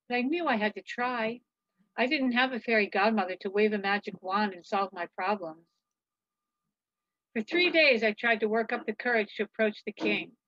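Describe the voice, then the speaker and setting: A woman's voice: on the high side, a bit bright, no echo. A woman reading a children's story in the first person, expressively, over a video call. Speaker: author reading own children's book. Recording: slightly dull video-call audio.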